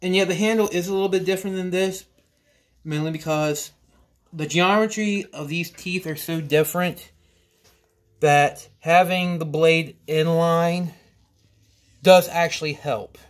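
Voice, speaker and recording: A man in his forties talks through a tool review in a cluttered workshop. His voice sits a little high, and he speaks slowly and loosely, doubling back on his words. The mic sits close, as if clipped to his body. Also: steady tone. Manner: slow, rambling, with frequent restarts and "you know". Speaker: woodworking channel host. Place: cluttered indoor workshop or basement.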